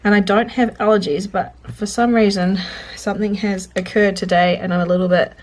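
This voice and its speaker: A woman's voice: medium pitch, steady tone, brisk, poor audio. A woman with a mid-range voice who sounds a little stuffy and congested talks casually while she journals. She rambles, breaks off mid-thought and corrects herself. Faint hiss and small clicks sit behind her voice. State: congested, sniffly. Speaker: journaling vlogger. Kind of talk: chatty real-time journaling commentary. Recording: faint clicking and background noise.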